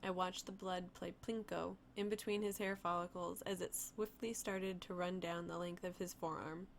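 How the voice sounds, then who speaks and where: Female narrator, mid pitch, reading her own novel; plain and steady, faint hiss behind. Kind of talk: first-person novel narration.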